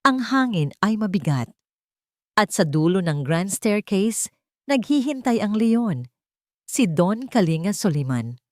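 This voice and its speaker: A woman's voice: medium pitch, sing-song, measured pace, dry recording, studio quality. A woman with a Filipino accent narrating a dramatic story from a script. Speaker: audiobook narrator.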